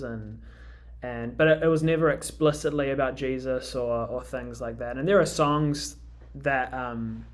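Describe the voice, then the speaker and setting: A young man from New Zealand with a Kiwi accent, chatting casually as a musician guest on a radio interview, pausing often with ums and restarts. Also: mid-range voice. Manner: hesitant, many ums and restarts.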